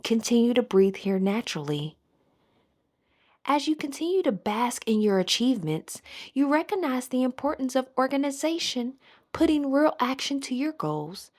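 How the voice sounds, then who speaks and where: A woman leading a scripted guided meditation in a soothing, measured manner. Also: high-pitched, big pitch swings.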